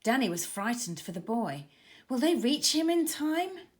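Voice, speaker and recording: A woman children's author reading her storybook aloud with expressive, dramatic emphasis for young listeners. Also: fairly high pitch, a little slow.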